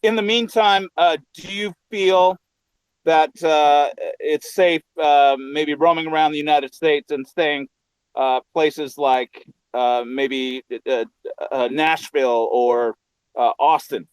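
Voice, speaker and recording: A man asks a question on a live audio chat in a joking, hesitant way, with many fillers. He speaks slowly in a smooth, fairly high voice. The sound is dry. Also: fairly even intonation, no echo, rough recording. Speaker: audience member asking a question.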